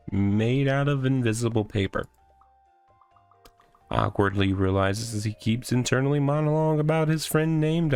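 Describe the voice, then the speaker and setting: A man's voice: medium pitch, a little mellow, natural voice. A man reading the part of a wry, mock-serious narrator in a comic visual novel.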